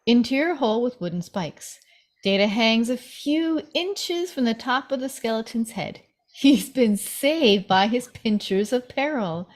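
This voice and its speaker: A woman's voice: fairly high pitch, expressive, unhurried. A woman reading stage directions from a screenplay as scripted narration at a table read.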